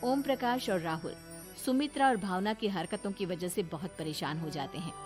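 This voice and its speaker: A woman's voice: lowish voice, moderate pace. A woman narrating a scripted moral story as the storyteller. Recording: music bed behind voice.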